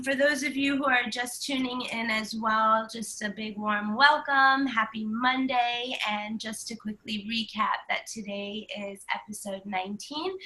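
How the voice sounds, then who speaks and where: Bright-voiced woman, stuffy from a cold. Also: fairly high pitch, poor audio. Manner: upbeat, lots of ums. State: stuffy from flu. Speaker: co-host. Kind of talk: live-stream chat. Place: home.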